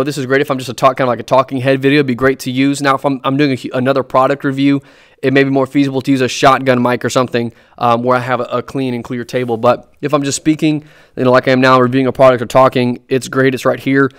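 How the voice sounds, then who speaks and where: A young man in a dark studio room, giving a casual review to camera, talking off-axis into a boom-arm condenser mic, with lots of ums and restarts. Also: little pitch movement, fast talker, clean tone, no room sound. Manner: chatty, many ums and restarts. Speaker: drummer and gear reviewer. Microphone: USB condenser on a boom arm, speaking off-axis.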